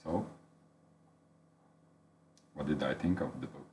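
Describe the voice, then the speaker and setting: Latvian-native guy talking thru a book review, super deep slow voice, faint hiss behind. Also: a little mellow. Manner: thoughtful, searching for words. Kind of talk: unedited spoken book review.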